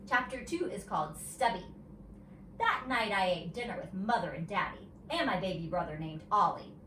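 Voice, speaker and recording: Roomy far mic, woman teacher reading a kids story, really animated, higher voice. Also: moderate pace. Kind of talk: animated storybook read-aloud. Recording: roomy, distant mic, faint noise.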